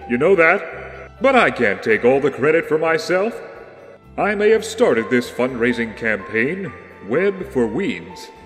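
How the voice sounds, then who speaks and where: Wisecracking young superhero, male actor: very animated, unhurried, heroic and folksy, for a campy audio drama. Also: on the high side. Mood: humble, earnest.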